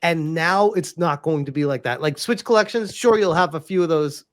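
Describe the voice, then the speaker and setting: Animated, laughing male podcaster. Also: fairly high pitch, normal speed. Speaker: podcast co-host. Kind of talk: casual chat.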